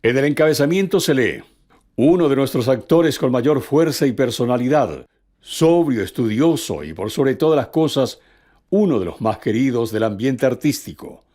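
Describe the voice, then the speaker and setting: A man's voice: medium pitch, expressive, moderate pace, mellow. A man reads scripted documentary narration as the narrator.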